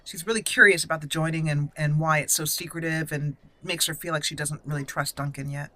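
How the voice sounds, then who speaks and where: A woman's voice: low voice, fairly even intonation, fairly quick, bright, forceful tone. A woman hosting a gaming video, giving casual roleplay commentary and thinking aloud as she plays.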